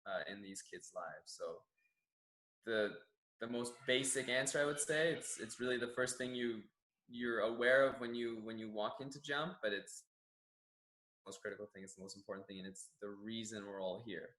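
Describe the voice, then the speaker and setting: A man's voice: on the low side, normal speed, a bit bright, roomy sound, rough recording. A young Canadian man whose first language is Farsi, a gym general manager answering interview questions in an earnest, reflective way with many restarts. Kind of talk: staff profile interview answers.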